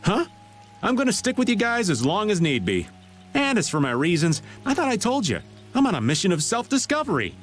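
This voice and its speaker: Video game role: a slippery middle-aged drifter, playful and teasing, hugely animated delivery, music underneath. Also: male voice, high-pitched, a little fast. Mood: feigned innocence. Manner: theatrical, teasing, feigned innocence. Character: shady, jovial older man, playful slippery drifter.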